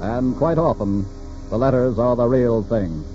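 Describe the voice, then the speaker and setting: A man narrating a 1940s radio crime drama as announcer, grave and dramatic in measured phrases. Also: medium pitch, dark tone, soft background music. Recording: muffled 1940s radio recording.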